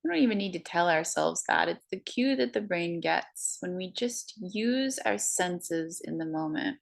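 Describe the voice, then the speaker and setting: Low gravelly woman leading breathwork, slow calm counting. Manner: slow, soft, soothing counting. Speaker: meditation guide. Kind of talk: guided breathing meditation.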